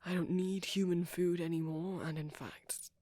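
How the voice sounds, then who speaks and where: A performer playing a shy, caring vampire boyfriend in an intimate ASMR roleplay, speaking softly and breathily with hesitant pauses. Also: mid-range voice, steady tone, no room sound, close to an everyday voice. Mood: shy, reflective. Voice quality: breathy, often near whisper. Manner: soft-spoken, breathy, halting with gentle pauses.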